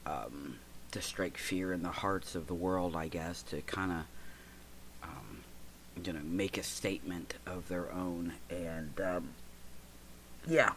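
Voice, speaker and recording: A deep-voiced man in his forties, stuffy and congested from allergies, talking in a halting, searching way full of pauses and fillers. A faint hum sits under his voice as he reflects as a host from the United States. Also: a bit bright. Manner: halting, with many fillers and restarts. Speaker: podcast host. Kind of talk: personal reflective talk.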